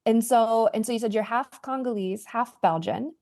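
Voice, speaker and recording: A woman's voice: on the high side, normal speed, a little mellow. A woman co-hosting a podcast, asking follow-up questions in an affirming way. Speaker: podcast co-host and interviewer. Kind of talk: interview.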